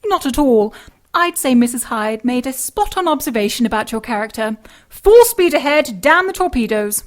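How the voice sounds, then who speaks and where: An actress with an English accent playing a prim, sharp-tongued sidekick with haughty dry wit in a radio drama. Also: female voice, sits high, expressive, normal speed. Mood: dry, wary.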